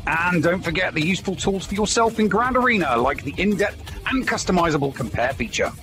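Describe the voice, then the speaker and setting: A man's voice: high-pitched, lively intonation, brisk, sharp tone. A man reading a scripted promotional spot as an advertisement announcer.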